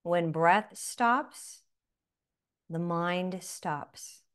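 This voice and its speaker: A woman guiding a breathing meditation, speaking softly with long pauses between phrases. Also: on the low side, clean tone. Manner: slow, soft-spoken, with long pauses. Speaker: meditation guide.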